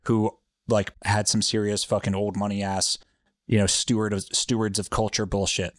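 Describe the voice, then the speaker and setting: A man's voice: fairly low pitch, flat delivery, moderate pace, no room sound, studio quality. A man hosting a podcast, telling a story in a loose, rambling, profane way with lots of fillers. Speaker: podcast host. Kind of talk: casual storytelling chat.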